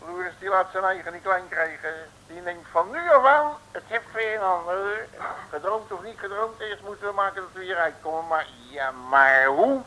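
An actor in a 1950s radio play playing a bumbling detective who stammers and blusters with comic bravado. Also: male voice, sits high, normal speed, hardly any pauses, bright, forceful tone, poor audio. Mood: blustering. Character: boastful bumbling male detective.

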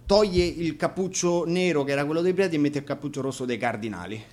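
A man's voice: fairly high pitch, quick pace, darkish tone. A man, a musician giving informal, hesitant and digressive explanations between songs at an acoustic concert. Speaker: musician and band frontman introducing songs. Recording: live radio concert with instruments.

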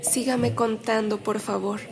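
A woman's voice: a little high, steady tone, unhurried, gravelly, soft background music. An actress with a Mexican accent playing a courteous, caring woman who speaks politely and hospitably in a radio drama. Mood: gentle, hospitable. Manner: polite, soft-mannered, hospitable. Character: Lola, courteous, caring sister of a bishop. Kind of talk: Spanish-language radio drama acting.

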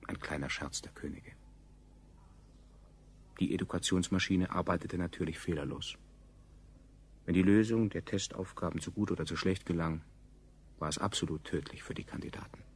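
An actor playing a grave chronicler, narrating a radio play in a measured, faintly ironic way. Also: male voice, sits low, steady tone, husky. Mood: sober recounting. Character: grave male chronicler, a survivor recalling the state.

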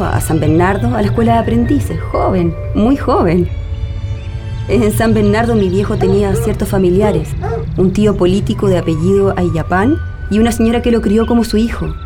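A woman's voice: medium pitch, close to an everyday voice. A woman playing a railwayman's nostalgic daughter recalling her family in a radio drama, with a Chilean accent. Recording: music under the voice.

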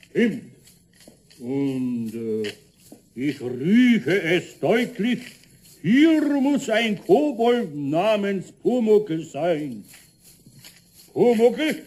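An actor playing a stern but kindly older master carpenter, grumbling and scolding in a children's radio play. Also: male voice, sits high, slightly rough, exaggerated voice. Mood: posing as stern visitor.

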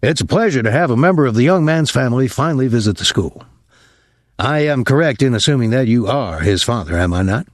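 A man voicing a nervous, apologetic older military academy colonel in an audiobook, speaking formally and haltingly with some embarrassment. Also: mid-range voice, lively intonation, no echo, studio quality, pitched above the performer's own voice, close to an everyday voice. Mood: formal, embarrassed.

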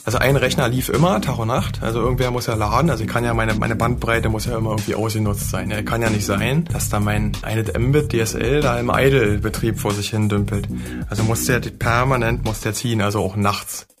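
A man giving informal spoken testimony as a scene insider, halting and repeating his words. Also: on the low side, steady tone. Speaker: software piracy scene insider. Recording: music laid behind the voice.

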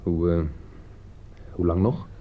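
An actor playing a bitter, sardonic man in a radio play, husky, pointed and halting. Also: deep voice, fairly even intonation, slight background noise. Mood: tense, hushed. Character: bitter, sardonic man who warned his friend. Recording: dull lo-fi radio play recording.

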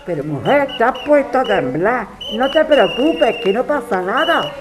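Woman doing calm spanish activist neighbour in audio drama, encouraging, noisy background. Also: a little high, normal speed. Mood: reassuring. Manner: reassuring, matter-of-fact. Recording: clearly noisy ambience.

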